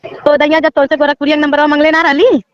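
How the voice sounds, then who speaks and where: A woman's voice: sits high, flat delivery, fast talker, no echo. A woman with a Bhojpuri accent recounting personal grievances as a caller in a recorded phone conversation. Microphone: phone call. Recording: muffled, noisy recorded phone call.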